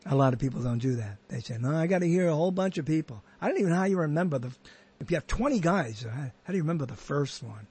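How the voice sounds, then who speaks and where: A man's voice: fairly high pitch, expressive, very fast, a little mellow, husky. A man hosting a podcast, chatting casually and rambling with many restarts and half-finished thoughts. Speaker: podcast host. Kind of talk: casual interview chat.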